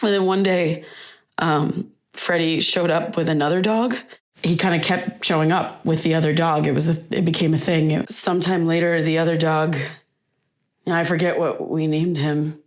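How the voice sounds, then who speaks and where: Actress as young bereaved daughter, lowish voice, wistful, halting everyday delivery, naturalistic audio drama. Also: female voice, steady tone, lightly acted. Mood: fond reminiscing. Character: grieving daughter reminiscing fondly about her late father.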